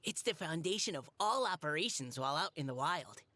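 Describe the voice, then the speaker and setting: A woman's voice: low voice, animated, a little fast, sharp tone, full character voice. Timid, stammering boy scout. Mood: earnest. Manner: hesitant, stammering. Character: timid, modest young boy scout, socially awkward. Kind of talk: video game voice acting. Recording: game music behind.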